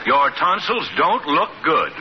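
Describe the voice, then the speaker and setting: An actor playing a brisk, authoritative family doctor in a 1950s radio comedy. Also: male voice, a little high. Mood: firm, insistent. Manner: authoritative, animated. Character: brisk, insistent family doctor, firm with patients. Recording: noisy, dull old radio recording.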